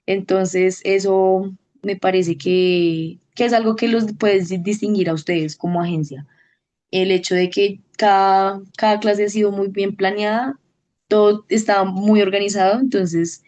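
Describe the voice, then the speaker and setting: A woman at a medium pitch, giving her testimonial in an interview. She thinks aloud as she goes, hesitating and starting sentences again. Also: a little mellow. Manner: thoughtful, hesitant, many restarts. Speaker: au pair program participant, teacher.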